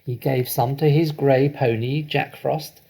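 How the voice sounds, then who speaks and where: A man reading a children's story aloud in an expressive, deliberate, dramatic storytelling manner. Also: mid-range voice, creaky, slightly rough, low-quality recording. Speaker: storybook reader.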